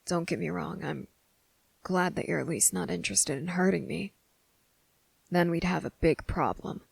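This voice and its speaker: Woman as defiant young boy, guarded, lowish pitch, fry in the voice, deliberate delivery, ASMR audio drama. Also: no room sound, exaggerated voice. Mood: puzzled, guarded. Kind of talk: ASMR roleplay audio drama, soft monologue.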